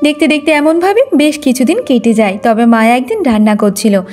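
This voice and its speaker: A woman's voice: high voice, brisk, over music. A woman reading scripted narration for a cartoon moral story.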